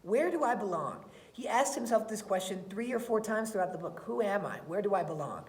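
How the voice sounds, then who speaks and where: A woman teacher reading aloud and talking to students in a deliberate, emphatic way. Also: mid-range voice, expressive, a little mellow. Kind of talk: expressive read-aloud and lesson talk for children. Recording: roomy, echoey sound.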